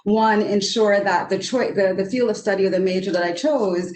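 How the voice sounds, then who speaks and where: A woman's voice: medium pitch, normal speed. Trinidadian woman on a roomy video call. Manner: earnest, animated, many fillers and restarts. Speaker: economist panelist. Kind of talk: roundtable discussion.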